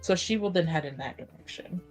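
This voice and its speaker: A woman's voice: fairly low pitch, brisk, soft background music. A woman playing a tabletop roleplaying game, chatting and narrating her character's actions in a playful, slangy, casual way.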